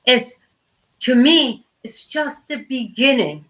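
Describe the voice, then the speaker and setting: A woman hosts the conversation, talking slowly and thoughtfully with frequent fillers. Her voice is fairly high and bright. The audio is dull and lo-fi, like a video call. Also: animated. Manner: slow, thoughtful, with many fillers. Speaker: host. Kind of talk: casual conversation.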